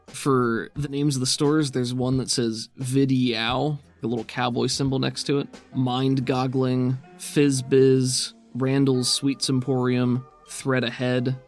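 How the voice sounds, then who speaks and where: A man's voice: medium pitch, gravelly. A man running a tabletop game as game master, narrating scenes off the cuff in a casual, encouraging way. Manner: encouraging, affirming. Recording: background music under the voice.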